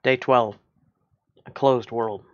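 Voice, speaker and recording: A man reading scripted story diary entries as a narrator, in a measured, formal manner. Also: medium pitch, fairly even intonation, dull audio. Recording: loud music behind.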